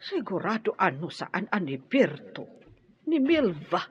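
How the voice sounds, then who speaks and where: A woman's voice: fairly low pitch, big pitch swings, a little slow, breathy edge, heavily acted. A woman playing an older village grandmother, hesitant and doting, in a radio drama with an Ilocano accent. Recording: dull, muffled lo-fi audio.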